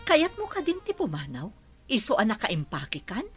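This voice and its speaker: Worried mansion mistress, animated mid-pitched voice, Ilocano accent, radio drama, faint music. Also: female voice, normal speed. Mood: worried, questioning. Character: anxious mother and mistress of a mansion.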